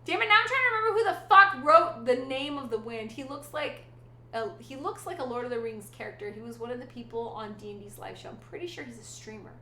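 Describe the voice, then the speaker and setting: Female streamer, bright higher voice, rambling casual chatter, echoey room. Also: quick pace, a little hiss or hum. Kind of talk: casual chat with live chat.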